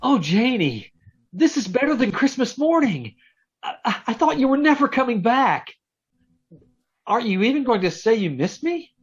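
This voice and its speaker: A man's voice: extremely high pitch, big pitch swings, moderate pace, breathy edge, heavily acted. A man playing an eager, lovestruck young suitor in 1940s radio drama style, earnest, pleading and stammering. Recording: dull lo-fi remote call audio.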